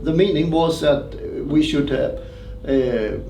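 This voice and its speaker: Halting, gravelly man in a roomy space. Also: on the high side, mellow. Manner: halting, hesitant phrasing in non-native English. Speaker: loudspeaker designer being interviewed. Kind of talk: unscripted technical interview answers. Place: indoor demonstration room at a hi-fi show. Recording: roomy, distant mic, noisy show room.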